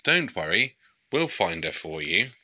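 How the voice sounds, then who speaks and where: A man's voice: low-pitched, very animated, measured pace, sharp tone, no echo, full character voice. A man voicing a bossy, eager little girl squirrel leader in a storybook, with exclamatory commands. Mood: urgent, encouraging.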